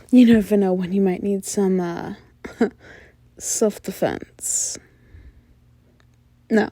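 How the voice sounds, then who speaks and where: A woman's voice: medium pitch, mellow, no room sound. A woman playing a flirtatious, sly mafia boss in an ASMR roleplay, coy and self-assured, with teasing hums and murmurs. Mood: suggestive, then smug, dismissive. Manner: coy, teasing, drawn-out hums and knowing laughs.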